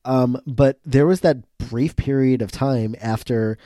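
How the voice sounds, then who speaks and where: A man co-hosting a podcast in casual recap chat, sounding stuffy and tired, talking in a relaxed way that often trails off quietly. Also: mid-range voice, measured pace, no echo, studio quality. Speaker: podcast co-host.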